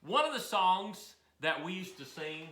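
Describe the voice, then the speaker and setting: A man's voice: high-pitched, very animated, unhurried, bright, forceful tone. A man in his sixties, a music teacher hosting the program, chatting in a folksy, self-deprecating way from a mountain cabin. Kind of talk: casual instructional chat. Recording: roomy echo. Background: old piano.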